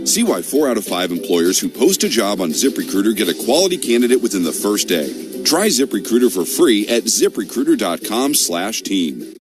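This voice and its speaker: A male announcer with a fairly low voice reads a scripted radio ad. His intonation is animated, and faint music sits underneath. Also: normal speed. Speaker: advertising announcer.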